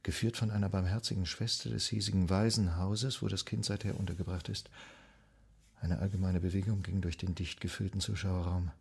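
Gravelly, sober male court reporter. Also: sits low, level tone, brisk, a little mellow, exaggerated voice. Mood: sober, reporting. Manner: sober, formal reading. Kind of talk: scripted narration in a radio drama.

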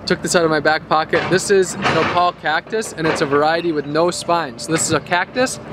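Upbeat male vlogger, 30s, garden tour, somewhat higher voice, clear background street noise. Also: fairly even intonation, a little fast. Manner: enthusiastic, gestures while talking and eating. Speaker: vlogger showing his garden. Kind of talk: casual walk-through vlog. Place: outdoor urban garden, then indoors by a bookshelf. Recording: outdoor traffic noise in background.

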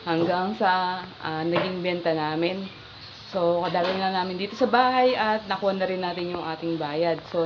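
A woman's voice: fairly low pitch, highs cut off. A woman in her thirties, a fishing vlogger, chatting casually to a phone camera held at arm's length, partly on a small boat at night and partly at home. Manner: chatty, mixing Filipino with English sign-offs. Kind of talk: casual vlog narration. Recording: loud engine and water noise on the boat.

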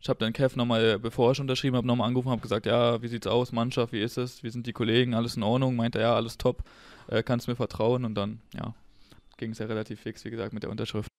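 A man's voice: mid-range voice, level tone, fast talker, no echo. A man from Berlin chatting casually and informally as a guest in an interview. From Berlin, Germany. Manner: informal, colloquial German. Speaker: podcast guest, former footballer.

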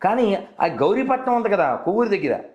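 A man's voice: sits high, sing-song, fairly quick, a little mellow. A man debating conversationally on a call, persistently probing with leading questions as the host. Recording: roomy, echoey phone call recording.

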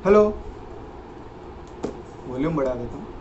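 A man's voice: on the high side, lively intonation, unhurried. A young man with an Indian accent chatting casually to camera as a prank video host, recorded indoors in a small room. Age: young adult. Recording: dull, noisy room recording.

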